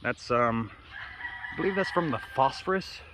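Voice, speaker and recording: A man's voice: mid-range voice, animated, moderate pace. An American man rambling casually for a vlog, offhand and freely swearing, recorded outdoors in a yard. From United States. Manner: profane, offhand. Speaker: vlogger. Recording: noisy outdoor recording with birds and roosters. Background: birds, roosters and outdoor ambience.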